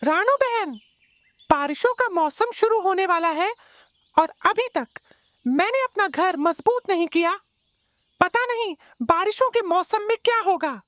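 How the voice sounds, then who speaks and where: A woman's voice: very high-pitched, lively intonation, unhurried, fairly bright tone, dry recording, heavily acted. A woman voicing an anxious, apologetic male parrot in a cartoon story. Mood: worried.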